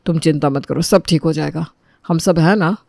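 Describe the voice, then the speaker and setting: A woman's voice: mid-range voice, normal speed, dry recording, close to an everyday voice. A woman reads as a caring young man in a family drama. The voice is earnest and soothing with a worried edge, in a dry recording. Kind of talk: Hindi family audio drama acting.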